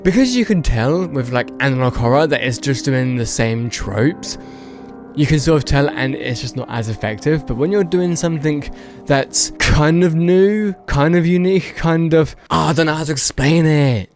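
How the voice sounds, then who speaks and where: A man's voice: fairly high pitch, moderate pace, darkish tone, soft background music. A man giving casual, unscripted video commentary, rambling and hedging as he goes and repeating words for emphasis. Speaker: video host.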